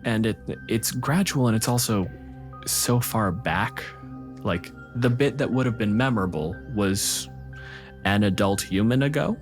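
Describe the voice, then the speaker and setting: A man's voice: fairly low pitch, measured pace, soft background music. A man improvising narration as the game master of a tabletop fantasy game.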